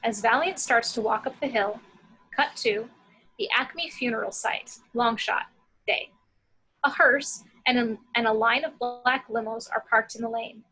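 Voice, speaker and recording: Bright, high-voiced woman narrating a script. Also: a little slow, faint music behind, poor audio. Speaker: action-description reader.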